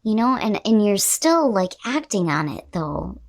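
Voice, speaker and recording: A woman's voice: medium pitch, big pitch swings, unhurried, creaky. A woman host giving an unscripted tarot reading to viewers, talking in a conversational, emphatic way with the odd mild swear word.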